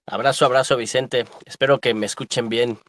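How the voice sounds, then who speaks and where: A man's voice: mid-range voice, fairly even intonation, brisk, fairly bright tone, no room sound. Mexican man, dry close sound, emphatic analyst. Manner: emphatic, militant, frequent tag questions. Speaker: guest historian and political analyst. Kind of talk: spontaneous political commentary.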